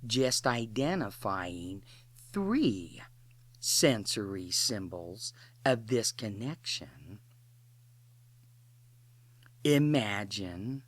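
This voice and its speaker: A woman guides an imagery meditation at an extremely slow pace, in short, deliberate phrases separated by long pauses. Her pitch moves up and down noticeably as she gives instructions, and the sound is dry and close. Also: slightly rough, dry recording.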